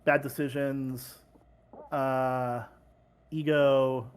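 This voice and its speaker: A man's voice: mid-range voice, level tone, very slow, clean tone. A man from California talking casually in an interview as a game publisher, rambling with many fillers and restarts over a video call.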